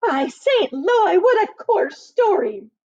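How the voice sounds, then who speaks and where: A woman's voice: very high-pitched, sing-song, slow pace, a little mellow, dry recording, highs cut off, voice pushed up, full character voice. A woman voicing a coy, snobbish prioress who speaks with mock-French affectation.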